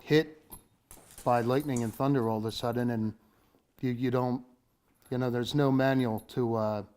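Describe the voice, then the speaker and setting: A man with a medium-pitched voice. He talks casually and without a script, recalling things as an interviewee in a news feature. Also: fairly even intonation, moderate pace, a little mellow. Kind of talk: casual, unscripted recollection.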